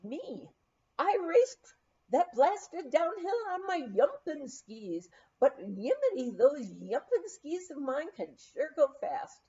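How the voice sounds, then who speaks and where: A woman's voice: high-pitched, big pitch swings, slow, mellow, pitched above the performer's own voice, heavily acted. A woman voicing a towering, boastful Norwegian ski jumper with a put-on lilt, in a storybook reading. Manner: boastful, hearty. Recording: dull lo-fi audio.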